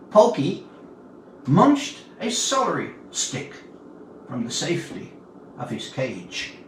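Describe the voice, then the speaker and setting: A man in his seventies from Newfoundland, Canada, reads his own story aloud expressively, with deliberate dramatic pauses between phrases. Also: sits high. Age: 70s. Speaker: author reading own story. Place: indoor room, livestream reading. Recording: roomy, distant mic with faint noise.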